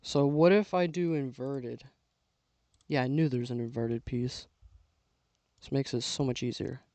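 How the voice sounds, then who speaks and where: A man's voice: mid-range voice, a little slow, no echo. Young man, casual building commentary, losing his voice, dry close sound. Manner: relaxed, mutters to himself while building. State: losing his voice, clearing his throat. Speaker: LEGO builder vlogger.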